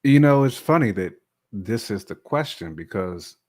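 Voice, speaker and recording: A man's voice: lowish voice, lively intonation, measured pace, a little mellow. A man talking casually as a podcast guest, in a colloquial, slangy way with frequent check-in phrases. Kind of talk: casual chat, telling personal views.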